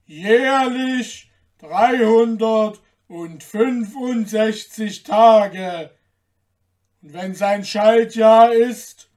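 A man voicing a huge, gruff, gullible old giant from a fairy tale, with a booming, grumbling, drawn-out delivery. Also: a little mellow. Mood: loud, domineering. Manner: slow, drawn-out, emphatic delivery.

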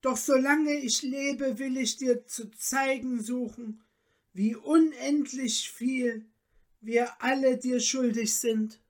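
A woman reader puts on a noble countess from a storybook, lifted high in pitch. She speaks very slowly in solemn, courtly phrases. Her temper is gracious and full of feeling. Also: steady tone. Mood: grateful, solemn. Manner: courtly, old-fashioned, solemn diction. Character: gracious noble countess, deeply moved and grateful.

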